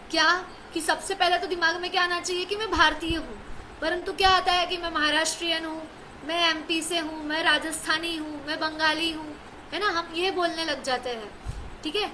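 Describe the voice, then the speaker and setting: A young woman with a high, bright voice teaches a scripture lesson. She keeps asking a rhetorical question and answering it herself, often adding a quick check-in with her class. A faint hiss sits under her voice. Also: rough recording. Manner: asks and answers her own questions, repeats "है ना". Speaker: religious teacher.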